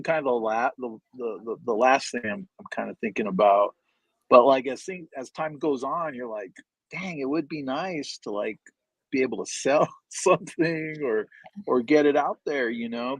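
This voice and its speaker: Man, writer; halting, filler-heavy casual talk, dry close sound, video call. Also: medium pitch, no echo, poor audio. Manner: hesitant, stumbling restarts, lots of fillers. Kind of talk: casual livestream chat.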